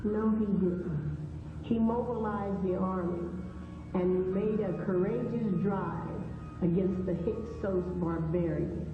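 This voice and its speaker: A woman playing an elderly Egyptian queen and grandmother, telling history in a grave, proud, formal manner in a stage-style dramatization. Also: on the low side. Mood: solemn, proud. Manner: stately, measured, formal. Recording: echoey hall, thin phone-like sound, music behind.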